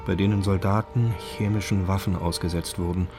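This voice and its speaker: Man, low voice, formal deliberate document reading, scripted documentary, music bed behind. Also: fairly even intonation. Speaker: reader of documents and quotations.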